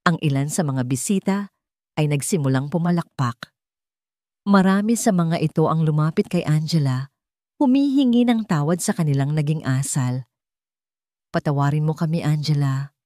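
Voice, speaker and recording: A woman narrating a scripted drama story in a measured, dramatic storytelling manner. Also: sits low, darkish tone, no echo, studio quality.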